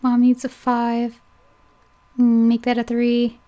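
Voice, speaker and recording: Slow-talking woman reading a game aloud. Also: a little high, completely flat delivery, warm tone. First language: English. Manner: chatty asides, playful self-correction. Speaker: let's play host. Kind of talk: reading a visual novel aloud with commentary.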